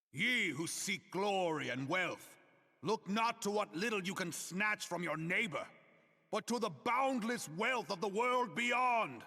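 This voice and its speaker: An actor playing a fierce, proud general who gives slow, solemn, declamatory speeches to rally a nation in a video game. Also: male voice, high voice, vocal fry, pushed and bright, exaggerated voice. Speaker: military leader giving a rallying speech. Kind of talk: theatrical oratory. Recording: long reverberant hall echo.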